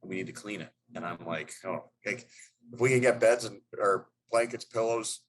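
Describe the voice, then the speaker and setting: A man's voice: lowish voice, normal speed, poor audio. A middle-aged man, a hockey head coach, answering press questions in a loose, rambling way with many fillers and restarts.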